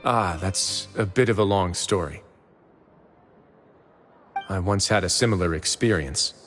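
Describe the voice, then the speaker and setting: Calm wry warden, lowish voice, long reverb, faint music, game audio. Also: male voice, unhurried. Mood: reflective. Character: composed male prison warden, dry-humored and stern. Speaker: game character. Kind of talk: video game voice acting.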